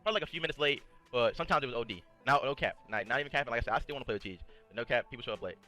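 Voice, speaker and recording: Fast blunt slangy man. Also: medium pitch, bright, forceful tone, faint music behind. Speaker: esports player. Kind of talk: casual interview talk.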